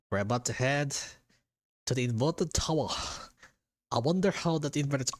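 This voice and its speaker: A man's voice: mid-range voice, slow pace. A man chatting casually with viewers while livestreaming a game. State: hiccups. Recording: game music behind the voice. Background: game music.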